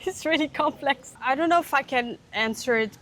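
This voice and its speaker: A woman's voice: sits high, moderate pace, slight background noise. Young woman, reflective and laughing. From Netherlands. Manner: reflective, laughs often, soft trailing endings. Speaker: interview guest. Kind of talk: casual interview conversation.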